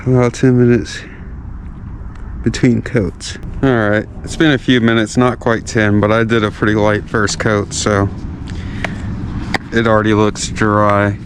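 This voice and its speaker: A man's voice: fairly low pitch, unhurried, long pauses, a little hiss or hum. A man, sounding stuffy from a cold, talking casually through a do-it-yourself wheel restoration outdoors. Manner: relaxed, chatty, self-deprecating. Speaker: car DIY vlogger. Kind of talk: casual how-to narration. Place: outdoors while working on wheels.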